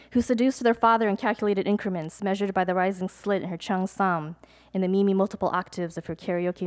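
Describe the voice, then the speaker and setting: A young adult woman, a poet, reading her poems aloud at a live event, measured and deliberate, with chatty, hesitant asides between pieces. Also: medium pitch. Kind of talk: poetry reading with casual asides to an audience.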